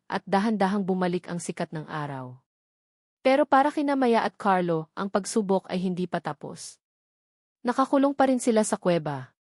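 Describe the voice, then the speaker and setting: A woman's voice: mid-range voice, darkish tone, no echo. Woman narrating a dramatic romance, dry sound. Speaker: audiobook narrator.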